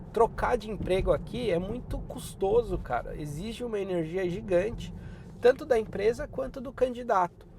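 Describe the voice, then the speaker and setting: A Brazilian man with a Brazilian Portuguese accent giving job-hunting tips in a relaxed, chatty advice vlog. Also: sits high, a little hiss or hum. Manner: relaxed and chatty, often drops in "cara" and "né".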